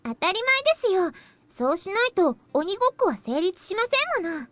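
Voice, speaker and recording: Very high, bright anime girl. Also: big pitch swings, very fast, dry recording, exaggerated voice. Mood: amused, gracious. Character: polite, playful young anime girl in a visual novel. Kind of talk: Japanese visual novel voice acting.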